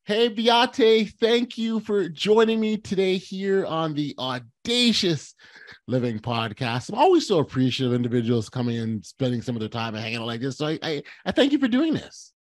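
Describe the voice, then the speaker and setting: A man's voice: fairly high pitch, very animated, moderate pace, no room sound, studio quality. A man hosting a podcast interview, effusive and gushing, with many restarts and repeated words. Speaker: podcast host. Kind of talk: podcast intro and interview chat.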